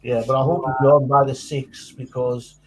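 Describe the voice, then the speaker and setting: A man's voice: medium pitch, little pitch movement, moderate pace, noisy background. A man with a Geordie accent chatting casually as a panellist on a live stream. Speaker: show regular and panellist. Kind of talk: casual chat.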